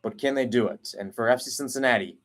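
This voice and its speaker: Man calling live soccer play-by-play. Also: mid-range voice. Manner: rapid running call, rising on chances. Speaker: play-by-play commentator.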